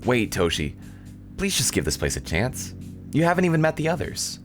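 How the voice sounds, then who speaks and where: Visual novel guide: sweet, earnest young girl performed by a man, lifted pitch, gushing and eager. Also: huge pitch swings, moderate pace, music in the background. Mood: pleading. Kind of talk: visual novel character dialogue.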